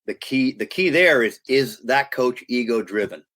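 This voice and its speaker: A man's voice: mid-range voice, lively intonation, normal speed, fairly bright tone. Earnest middle-aged man with restarts. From United States. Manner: earnest and emphatic, with frequent restarts. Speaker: guest, youth sports consultant. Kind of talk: podcast interview talk.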